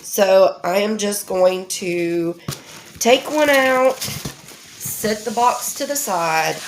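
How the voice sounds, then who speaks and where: Stuffy-nosed woman, slow and chatty. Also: medium pitch, a little hiss or hum. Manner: chatty, homey, with many ums. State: nasal from a head cold. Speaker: crafting channel host. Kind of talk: casual unboxing vlog.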